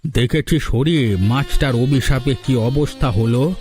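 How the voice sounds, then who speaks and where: A gossipy, sympathetic villager played by a man in a cartoon moral story. He speaks confidingly in a medium-pitched, mellow voice, with faint music behind. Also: a little slow, close to an everyday voice. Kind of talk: Bengali cartoon story voice acting.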